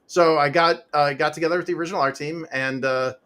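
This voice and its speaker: A man's voice: a little high, fairly even intonation, quick pace, sharp tone. Gen x comic guy rambling on a home mic, um and uh everywhere, laughs a lot. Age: 40s to 50s. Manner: rambling, laughing, lots of fillers and restarts. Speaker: interview guest, comic author. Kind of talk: casual interview chat. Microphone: home podcast mic with pop filter.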